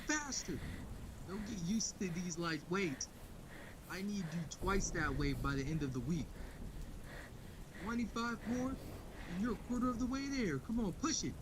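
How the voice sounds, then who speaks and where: A man's voice: sits high, lively intonation, normal speed, background noise, voice pushed up. A man voicing a harsh, relentless mother barking demands at her young daughter in training. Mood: stern, pushing.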